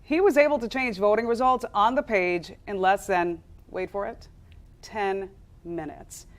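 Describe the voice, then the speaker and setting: A woman's voice: mid-range voice, unhurried. An American woman working as a news anchor, presenting a live television broadcast.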